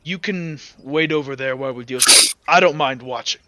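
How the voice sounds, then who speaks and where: A man's voice: medium pitch, brisk, heavy background noise, lightly acted. A man doing a curt, formal Inquisitor. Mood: dismissive. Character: stern male Inquisitor soldier.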